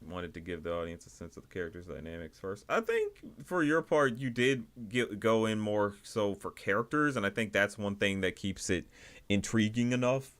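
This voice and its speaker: A man hosting a livestream, giving casual, rambling commentary in a halting way, often repeating and rephrasing himself. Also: lowish voice, sing-song, fairly bright tone. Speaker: livestream host reviewing indie animation.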